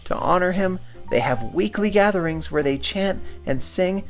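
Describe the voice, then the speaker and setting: A man's voice: high voice, animated, normal speed, soft background music, pitched above the performer's own voice. A man voicing an eerie girl from a horror movie, solemnly telling a legend in a dramatic storytelling manner.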